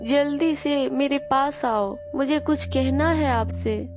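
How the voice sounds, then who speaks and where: A woman plays an anxious, imploring farmer's wife from a cartoon moral tale. Her voice is high and she speaks slowly, with music behind. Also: steady tone. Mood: worried. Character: poor village farmer's wife, pleading and anxious.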